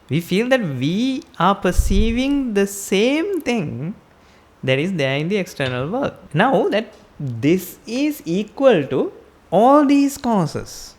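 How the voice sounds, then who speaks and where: Slow, lively-toned woman giving a sermon. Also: mid-range voice. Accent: Sri Lankan. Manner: questioning, explains step by step with rhetorical questions. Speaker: Buddhist teacher.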